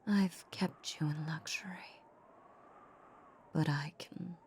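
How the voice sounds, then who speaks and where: A woman playing a possessive, seductive vampire in a roleplay audio drama, teasing her captive with menacing sweetness in a breathy near-whisper. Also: deep voice, steady tone, slow pace, slight background noise. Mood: silky, taunting.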